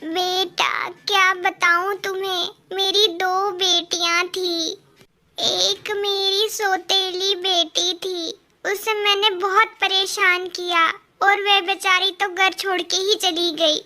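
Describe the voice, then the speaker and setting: A woman acts a frail old cartoon mother, full of pleading and remorse. She drags her words slowly in a very high voice. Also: flat delivery, sharp tone, full character voice. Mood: remorseful. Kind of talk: children's cartoon dialogue.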